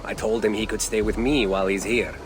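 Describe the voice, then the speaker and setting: An actor playing a boisterous, good-natured young Viking villager in a video game, earnest and animated. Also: male voice, fairly low pitch, brisk, lightly acted. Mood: exasperated, apologetic. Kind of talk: dramatic video game acting.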